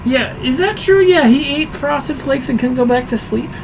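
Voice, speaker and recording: A hoarse man hosting a casual cooking livestream from his home kitchen, chatty and self-deprecating, answering viewers aloud as he works. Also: very high voice, lively intonation, normal speed, slight background noise, rough recording. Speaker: streaming cooking show host.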